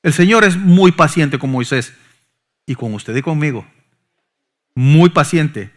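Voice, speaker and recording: A middle-aged pastor, preaching a sermon that swings from forceful emphasis to soft, low asides. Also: male voice, expressive, takes it slowly. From Honduras. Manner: preaching with sudden soft drops and rising emphasis.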